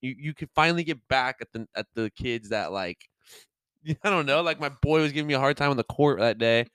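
A man's voice: mid-range voice, fairly quick, a bit bright, smooth, no room sound. A young man co-hosting a casual podcast, rambling with lots of fillers, laughing and swearing. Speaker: podcast co-host. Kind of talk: casual chat.

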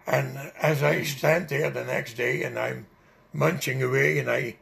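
An older man with a heavily gravelly, creaky voice tells a long memory in a relaxed, rambling way, full of small fillers. He speaks English as a second language. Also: mid-range voice, a little slow. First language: not English. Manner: rambling, vivid recollection with fillers. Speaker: interviewee. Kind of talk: oral history storytelling.

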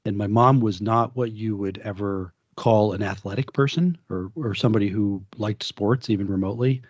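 Low husky man hosting a podcast. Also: normal speed, dry recording. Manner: relaxed, conversational, asks guests questions. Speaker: podcast host. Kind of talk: casual podcast discussion. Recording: dull, dry, low-fidelity podcast audio.

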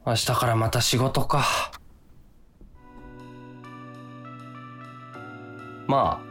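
An actor playing a weary, easily tempted office worker who narrates a comic radio drama with grumbling, self-deprecating drama. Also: male voice, fairly low pitch, lightly acted. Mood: resigned grumbling.

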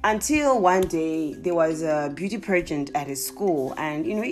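Animated Zimbabwean woman telling stories. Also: sits low, a little slow, faint music behind. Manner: animated, exclaiming, laughing often. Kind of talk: casual comic storytelling to viewers.

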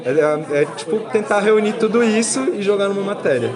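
A man's voice: high voice, lively intonation, brisk. A Brazilian man in his twenties, a student giving casual interview answers, hesitating and repeating words. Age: 20s. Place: congress venue with crowd. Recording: busy event crowd behind the voice.

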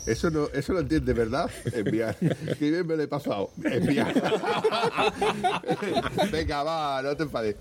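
Joking, crude male co-host; lively pitch, noisy café. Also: slow. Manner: crude, joking, laughs often and acts out messages. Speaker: podcast co-host. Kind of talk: casual chat.

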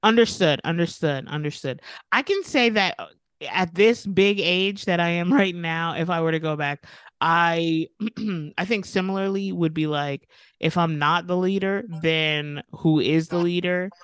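Smooth-voiced slangy woman co-host. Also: on the low side, unhurried, no echo. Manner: relaxed, slangy, laughs while talking. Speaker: podcast co-host. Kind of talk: casual chat.

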